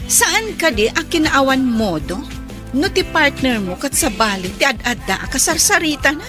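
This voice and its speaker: A woman's voice: high-pitched, big pitch swings, moderate pace, music in the background. A woman playing a nosy, gossiping young woman at an event in a radio drama. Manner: gossipy and curious.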